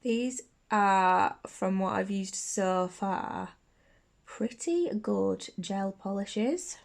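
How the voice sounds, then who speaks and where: A woman's voice: medium pitch, very slow, vocal fry. A young woman with a slight sniffly cold, chatting casually through a nail tutorial from her bedroom. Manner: chatty, bubbly, restarts mid-sentence. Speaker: beauty YouTuber. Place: bedroom filming set, indoors.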